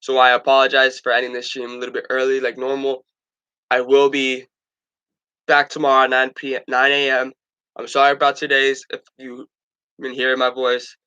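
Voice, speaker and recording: A man's voice: medium pitch, completely flat delivery, normal speed, pushed and bright, clear, resonant tone, highs cut off. A young man hosting a casual sports talk stream, stuffed up from allergies, rambling with stumbles and restarts.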